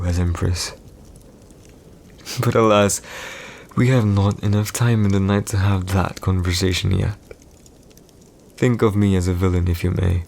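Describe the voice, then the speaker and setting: A man's voice: lowish voice, a little mellow. A man playing a haughty, teasing villain emperor in an ASMR audio roleplay, with formal, condescending, old-fashioned diction.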